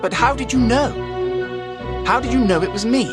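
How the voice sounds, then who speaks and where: A man playing a polite, flustered young gentleman in a game, well-spoken and excitable. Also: very high voice, normal speed, loud music behind the voice. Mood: rueful, amazed. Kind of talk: scripted game voice acting.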